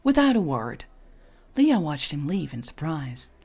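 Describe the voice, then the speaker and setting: Expressive woman narrator with lively, swooping pitch. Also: measured pace, husky. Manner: expressive storytelling. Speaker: audio fiction narrator. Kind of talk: scripted story narration with acted dialogue.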